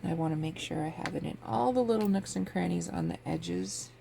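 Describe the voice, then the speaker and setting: A woman with a low, heavily gravelly voice talks through a miniature craft project in a relaxed, chatty way. She is a bit sniffly from a cold, and a fan hums faintly behind her. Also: brisk. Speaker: crafting channel host. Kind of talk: casual craft tutorial. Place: home craft table with a fan running. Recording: faint fan noise in background.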